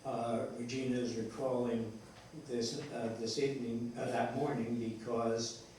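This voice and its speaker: A man speaking as a playwright in a rambling discussion, haltingly searching for words and correcting himself. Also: mid-range voice, level tone, darkish tone. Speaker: playwright discussing his play. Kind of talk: rambling post-show discussion. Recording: echoey room, distant mic, faint noise.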